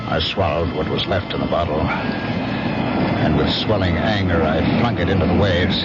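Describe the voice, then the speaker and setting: Casting: brooding radio-mystery husband, very low voice, intense and hesitant, heard through dull, heavy noise. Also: male voice. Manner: halting, intense. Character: brooding, jealous husband, drinking, on the edge. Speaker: actor and narrator of the story in character. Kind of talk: 1970s radio mystery acting. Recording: muffled old radio recording, loud noise.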